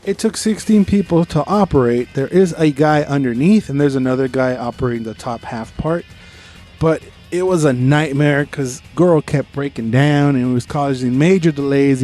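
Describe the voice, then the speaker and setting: A man's voice: mid-range voice, normal speed, a little mellow, faint music behind. A man co-hosting a podcast, giving an informal, opinionated movie review in casual conversation and swearing freely.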